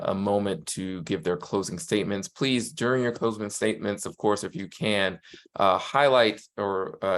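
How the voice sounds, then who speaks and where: A man with a lowish voice moderates a candidate forum over a video call. He is polite and a little hesitant, filling his pauses with frequent uh's.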